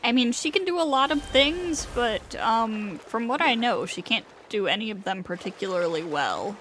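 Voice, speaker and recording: A woman's voice: a little high, expressive, moderate pace, fairly bright tone, slight background noise. A woman giving casual live gameplay commentary as a streamer, with a blunt, offhand way of talking. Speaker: game streamer. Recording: game music behind the voice.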